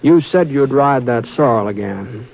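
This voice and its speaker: An actor playing a calm, steady frontier rancher who narrates, speaking in a soothing drawl in a 1950s radio drama. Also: male voice, mid-range voice, a little slow. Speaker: narrator and lead. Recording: dull old radio broadcast.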